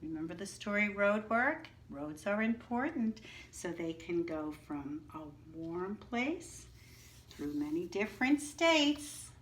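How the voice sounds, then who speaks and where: A woman's voice: medium pitch, a little hiss or hum. A woman teacher reading a picture book aloud to young children, stopping to explain words and ask the class questions. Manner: slow, clear, expressive teacher voice, asking the class questions. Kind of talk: children's story read-aloud with teaching asides.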